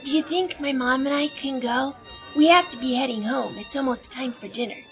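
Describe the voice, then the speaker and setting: A woman plays a young boy in an audio drama, with a high voice. He sounds trusting, hopeful and polite, with soft music behind him. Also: fairly quick.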